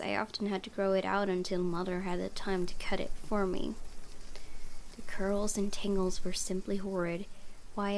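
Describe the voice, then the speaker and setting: A woman reading visual novel narration aloud for a let's play, with expressive, theatrical storytelling. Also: mid-range voice, slight background noise. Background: music.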